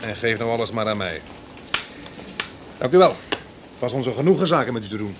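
An actor in a Dutch radio play, playing a smooth, mockingly polite male kidnapper and gang boss. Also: medium pitch, lively intonation, fairly quick, lightly acted. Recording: noisy old radio play, distant sound.

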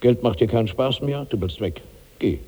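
An actor playing a gigantic, self-pitying rich glutton of 65 in theatrical, grandiose 1960s radio drama style, swinging between brooding and giddy. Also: male voice, mid-range voice, lively intonation, normal speed, dark tone, slightly rough. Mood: brooding, wounded. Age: older. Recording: reverberant 1960s radio studio recording.

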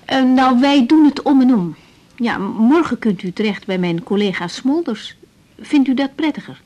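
Actress as a mellow-voiced, tactful young doctor. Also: female voice, on the high side, expressive, normal speed, natural voice. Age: young adult. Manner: informal, tentative, reassuring. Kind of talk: naturalistic 1980s radio drama acting.

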